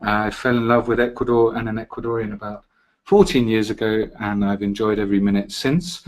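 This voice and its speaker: A man's voice: on the low side, fairly even intonation, fairly quick. A middle-aged British man from Britain hosting a travel webinar from an office, friendly and chatty, with frequent "uh" fillers. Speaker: webinar host. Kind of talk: live travel webinar presentation. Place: office in Quito.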